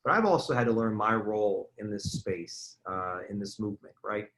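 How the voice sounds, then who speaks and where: A man moderating a panel discussion in a conversational way, with earnest, deliberate phrasing and small rhetorical check-ins. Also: fairly low pitch, fairly bright tone. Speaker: forum moderator.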